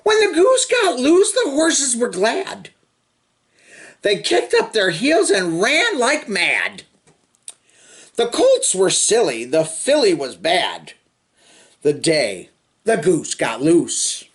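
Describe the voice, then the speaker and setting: A man reads a children's picture book aloud at a very slow pace. His pitch swings widely in a sing-song, expressive delivery, with drawn-out phrases and casual little asides to the young listener. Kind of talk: animated storybook read-aloud with chatty asides.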